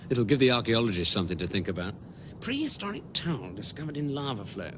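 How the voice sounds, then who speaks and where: An actor playing a brisk, sarcastic alien space traveller in a British comic radio drama. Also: male voice, medium pitch. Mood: wry. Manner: excitable, sarcastic. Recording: noisy sound-effect backdrop.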